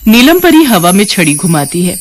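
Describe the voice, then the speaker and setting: A woman's voice: medium pitch, sing-song, moderate pace. A woman reading a scripted children's story as the storyteller.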